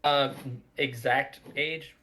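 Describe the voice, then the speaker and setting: A man giving casual behind-the-scenes commentary on an audio drama, halting and thoughtful as he searches for words. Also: mid-range voice, steady tone, pushed and bright. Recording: noisy, audio drama playing underneath. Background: audio drama playing in the background.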